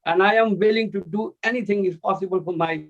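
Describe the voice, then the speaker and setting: A man with a Pakistani accent speaks as an activist over a video call. His voice sits high, and the call audio is muffled and lo-fi. He talks earnestly, with loose grammar and many fillers. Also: fast. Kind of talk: informal speech.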